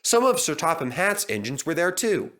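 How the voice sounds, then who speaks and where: A man reading a children's story aloud as an expressive narrator. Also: on the high side, normal speed. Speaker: story reader.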